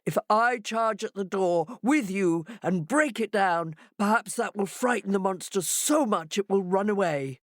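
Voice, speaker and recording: A woman voicing a proud male jackal who talks brashly and shouts. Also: medium pitch, measured pace, hardly any pauses, no room sound, studio quality, pitched above the performer's own voice, close to an everyday voice.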